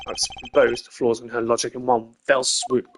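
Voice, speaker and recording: A game streamer voicing a composed, haughty male prosecutor with a formal, pompous, measured delivery. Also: mid-range voice, level tone, a little hiss or hum, close to an everyday voice. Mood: cold, menacing.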